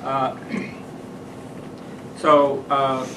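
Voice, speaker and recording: A man introducing a guest speaker at an event, halting with many fillers. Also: medium pitch, monotone. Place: meeting room with audience. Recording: roomy, distant mic, background noise.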